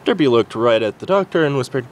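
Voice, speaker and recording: A man's voice: medium pitch, animated, fast, a little hiss or hum. A man narrating a story as an amateur reader, stumbling over words now and then. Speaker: amateur fan fiction reader. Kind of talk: story narration, reading aloud.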